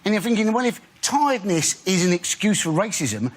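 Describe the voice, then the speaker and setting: A man's voice: sits high, big pitch swings, brisk. A man comedian making wry, sardonic remarks on a panel show.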